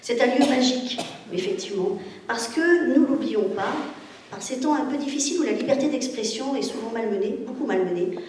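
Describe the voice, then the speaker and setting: A woman's voice: on the high side, animated, brisk, a little mellow. Emphatic woman speaking in a very echoey hall. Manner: emphatic, repeats phrases. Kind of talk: earnest public speech. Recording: very echoey hall, distant microphone, faint noise.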